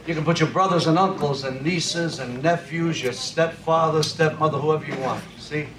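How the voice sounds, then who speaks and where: An actor playing a gruff, stubborn Italian-American pizzeria owner, blunt and combative, in film dialogue. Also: male voice, fairly high pitch, moderate pace, natural voice. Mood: indignant. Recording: noisy, echoey film soundtrack.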